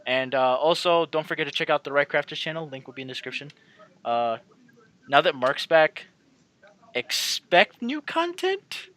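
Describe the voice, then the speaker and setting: Guy doing casual gaming commentary, bright forceful voice, rambles and repeats himself to viewers. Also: fairly high pitch, sing-song, normal speed. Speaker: gaming channel host. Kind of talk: casual let's-play commentary and outro.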